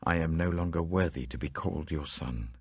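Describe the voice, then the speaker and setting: British guy doing a broke sorry son from a bible story, pleading, deep croaky voice. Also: brisk, a little mellow, dry recording, clean studio sound, heavily acted. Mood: humble, penitent. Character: penitent, destitute younger son, humbled and desperate.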